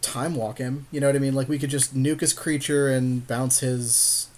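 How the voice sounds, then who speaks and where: Guy chatting thru a card game, slangy n jokey, agrees easily, normal mid pitch. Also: flat delivery, moderate pace, darkish tone. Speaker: podcast co-host commentating on a card game. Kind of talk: casual gameplay commentary.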